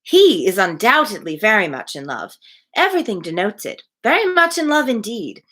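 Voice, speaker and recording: A woman voicing a self-assured young genteel woman from a novel, reasoning aloud in a measured, emphatic way. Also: mid-range voice, very animated, pushed and bright. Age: young adult.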